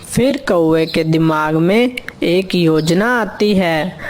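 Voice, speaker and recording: A woman's voice: fairly low pitch, slow pace, darkish tone, slight background noise. A woman narrating a scripted children's story.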